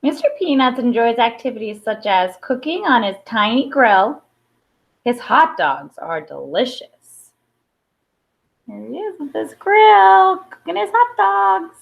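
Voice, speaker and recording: A woman reads a children's story aloud in a fairly high voice that swings expressively up and down. She takes her time and pauses for emphasis. The recording sounds a little dull and lo-fi. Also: very animated, gravelly. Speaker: storybook reader. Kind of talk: picture-book read-aloud with brief asides.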